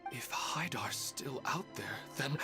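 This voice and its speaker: An actor playing a worried father in a video game, speaking haltingly and confused. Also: medium pitch, expressive. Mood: urgent. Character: worried father, bewildered, then urgent. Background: faint game music.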